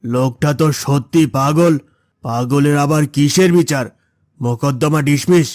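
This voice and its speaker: A man's voice: a little high, moderate pace, a little mellow, no room sound, clean studio sound, close to an everyday voice. A man playing a stern, indignant authority figure in a cartoon folk tale. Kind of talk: cartoon moral-story dialogue.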